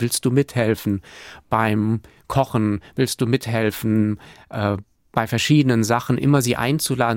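A man's voice: mid-range voice, no echo, clean studio sound. A man from Hamburg, a church planter, answering interview questions in a thoughtful way, with restarts and fillers. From Hamburg, Germany.

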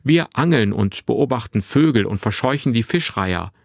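A man voicing a confident, carefree boy of about twelve in a narrated story, with a clear, bright tone and a forthright manner. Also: mid-range voice, expressive, moderate pace, no room sound, studio quality. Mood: cheeky, opinionated. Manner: forthright, unembarrassed, boyishly matter-of-fact. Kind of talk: acted dialogue in a narrated story.

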